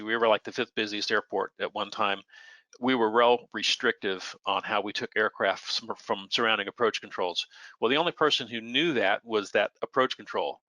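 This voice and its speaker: A man's voice: on the low side, pushed and bright, no room sound, rough recording. An American man, an air traffic controller, answering questions in a plainspoken, conversational explaining style, sometimes acting out short example exchanges. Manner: plainspoken, illustrates points with imagined radio exchanges.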